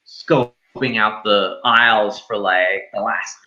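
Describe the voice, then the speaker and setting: A man's voice: fairly low pitch, fairly even intonation, takes it slowly, bright, forceful tone. A man hosting in a blunt, informal, casual chatting style, on a slightly dull recording. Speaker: podcast host. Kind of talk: casual banter, movie review chat.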